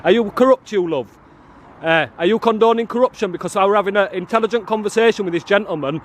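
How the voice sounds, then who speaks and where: Loud, combative man. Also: high-pitched, quick pace, a little hiss or hum. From England. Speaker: auditor filming outside a prison. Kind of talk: confrontational street filming. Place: outdoors by a prison car park.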